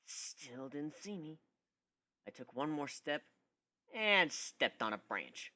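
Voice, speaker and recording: A man voicing a cocky, introspective young male griffin in an expressive dramatic story reading. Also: on the high side, slow, full character voice. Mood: intense, proud. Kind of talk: dramatic first-person fan fiction reading.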